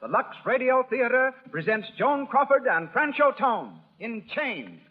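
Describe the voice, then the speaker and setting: A man's voice: very high voice, very animated, a little slow, very noisy. A man proclaiming a formal show introduction as a 1930s radio announcer. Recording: tinny 1930s radio with music. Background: music.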